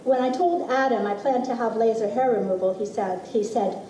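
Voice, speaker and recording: A middle-aged woman reading a personal essay aloud as expressive, deliberate storytelling with dramatic pauses. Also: a little high. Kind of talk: literary reading of a personal essay to a live audience. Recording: roomy, distant mic with faint noise.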